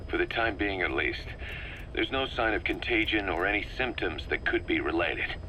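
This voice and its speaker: A low, grave military officer. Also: male voice, lively intonation, background noise. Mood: grave, concerned. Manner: measured, methodical, explanatory. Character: grave, analytical male military second-in-command reporting to his boss. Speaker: military intelligence officer briefing his commander. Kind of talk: scripted game dialogue, briefing.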